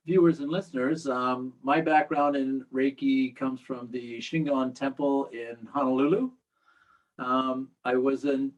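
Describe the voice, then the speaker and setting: A man's voice: medium pitch, rough recording. Polite male interview host. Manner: polite, measured, with some fillers. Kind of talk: formal interview hosting.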